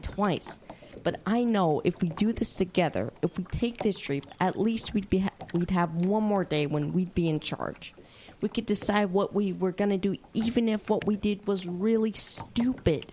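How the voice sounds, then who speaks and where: A woman's voice: medium pitch, a little mellow, a little hiss or hum, close to an everyday voice. A young female actor playing a stubborn, earnest kid adventurer in an audio drama.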